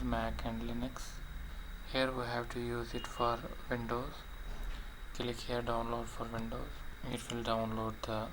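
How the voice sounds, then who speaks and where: Slow, flat-voiced man over clear noise. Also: medium pitch. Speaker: tutorial presenter. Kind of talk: step-by-step software tutorial narration. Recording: clearly noisy voice-over recording.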